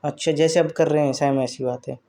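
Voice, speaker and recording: A man's voice: on the high side, steady tone, brisk, vocal fry, mellow. A man playing a flirtatious married man in a casual staged phone chat, teasing with sly double meanings. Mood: teasing, mock-complaining.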